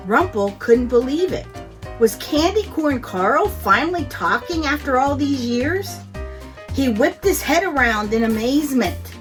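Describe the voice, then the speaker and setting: Slow, high-voiced woman reading a children's story. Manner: expressive, deliberate storytelling. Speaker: host and story reader. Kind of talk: children's storytime read-aloud. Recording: music behind the voice. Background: background music.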